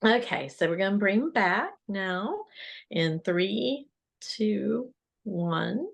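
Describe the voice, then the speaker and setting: A woman hosting an interview podcast, asking gentle, encouraging questions. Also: mid-range voice, lively intonation, extremely slow, vocal fry. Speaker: host.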